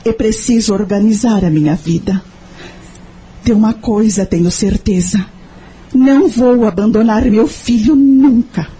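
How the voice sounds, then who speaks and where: A woman's voice: medium pitch, measured pace, dark tone, husky, rough recording. A woman playing a devoted, grieving young mother in an audio drama, speaking with earnest emotion and deliberation. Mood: earnest, resolved.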